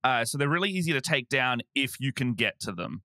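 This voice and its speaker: A man's voice: medium pitch, expressive, a little fast, sharp tone, dry recording, studio quality. An Australian-accented man chatting casually as a podcast guest. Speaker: podcast guest, game master. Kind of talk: casual chat.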